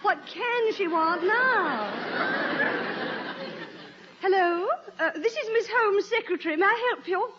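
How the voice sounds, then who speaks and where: A woman's voice: very high-pitched, animated, takes it slowly, pauses often, over a noisy crowd, heavy background noise, heavily acted. A woman playing an evasive actress who poses as her own snooty secretary in radio comedy, stalling coyly with feigned politeness and French affectations. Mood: exasperated aside. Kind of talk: 1950s radio comedy acting. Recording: muffled old radio broadcast recording.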